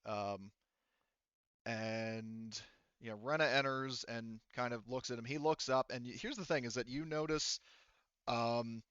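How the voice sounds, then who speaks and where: Clear-voiced man running a game, echoey room. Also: mid-range voice, fairly even intonation, fairly bright tone, lo-fi sound. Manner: halting, explanatory. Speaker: game master. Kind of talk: narrating a tabletop roleplaying game.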